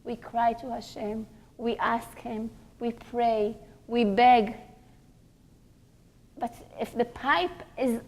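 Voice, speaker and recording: A woman teaching a religious class in a deliberate, emphatic way, pausing between short phrases and posing rhetorical questions. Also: fairly high pitch, fairly even intonation, a little mellow, low-quality recording.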